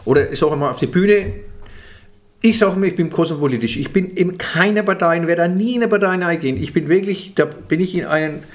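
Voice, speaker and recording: Older Franconian man, slightly high voice. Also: fairly quick. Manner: chatty, lots of restarts, gestures while talking. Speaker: comedian guest. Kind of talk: casual interview chat. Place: small indoor office room. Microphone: table microphone.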